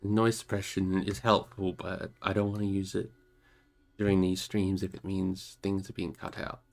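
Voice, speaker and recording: A man with a creaky voice, hosting a livestream where he chats casually and reads a book aloud expressively. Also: low-pitched, completely flat delivery, moderate pace. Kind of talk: casual livestream chat and expressive storybook narration.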